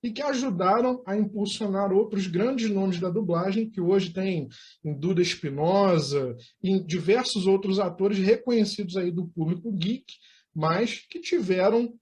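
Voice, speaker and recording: A man's voice: high-pitched, a little slow, darkish tone. A Brazilian man hosts an interview in a conversational register. His questions are wordy and courteous, with slightly formal turns of phrase. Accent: Brazilian Portuguese. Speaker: interview host.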